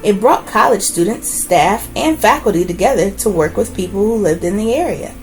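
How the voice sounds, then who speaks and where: A woman reading a children's book aloud as host, with expressive, deliberate phrasing and brief asides. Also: mid-range voice, faint music behind, low-quality recording.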